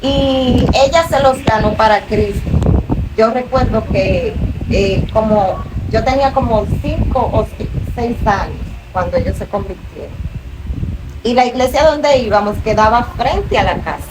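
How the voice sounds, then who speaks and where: A woman's voice: fairly high pitch, a little slow. Dominican woman giving an earnest testimony. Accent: Dominican Spanish. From Dominican Republic. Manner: earnest storytelling, rising with emotion. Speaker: minister, interview guest. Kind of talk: testimony interview. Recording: distant mic, noisy room.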